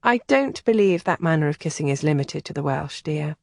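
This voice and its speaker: A woman narrator voicing a composed, caring female companion who speaks gently and matter-of-factly. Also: low voice, animated, fairly quick, gravelly, dry recording, clean studio sound, exaggerated voice. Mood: gentle. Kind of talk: audiobook character voicing.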